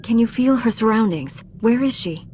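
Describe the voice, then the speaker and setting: An actress playing a dutiful Jedi Knight in a video game, speaking in a measured, serious, authoritative way. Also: female voice, on the high side, expressive, a little mellow, slight background noise, close to an everyday voice. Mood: composed, direct. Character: dutiful female Jedi Knight, earnest and composed. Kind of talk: video game cutscene acting.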